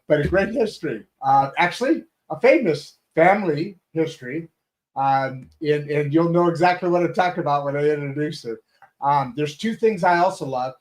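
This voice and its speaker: A man's voice: mid-range voice, rough recording. A man hosting a talk show, chatting casually and rambling through his guest's introduction with many restarts and fillers. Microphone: video call setup from a home studio.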